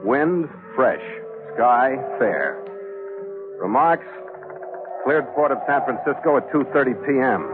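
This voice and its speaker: Tough sea captain, tinny old radio. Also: male voice, mid-range voice, lively intonation, slow, long pauses. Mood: terse, official. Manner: clipped, hardboiled, lyrical narration. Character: tough, wry sea captain narrating his logbook. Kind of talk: 1940s radio drama acting. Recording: tinny 1940s radio recording with music.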